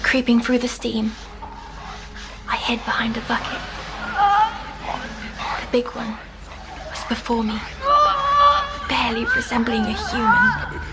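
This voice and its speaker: A woman playing a timid young girl in an audio drama, recounting nightmares in a hesitant, halting way. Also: on the high side, very animated, very noisy. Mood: uneasy, then frightened. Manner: hesitant, halting, breaking off mid-phrase.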